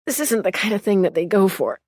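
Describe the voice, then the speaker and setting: A woman voicing a confident, composed young female gunslinger and peacemaker in a video game, with a husky voice and a measured, wry delivery. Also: mid-range voice, expressive, a little mellow, dry recording, clean studio sound, lightly acted. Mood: calm, wry. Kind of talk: scripted game character voice lines.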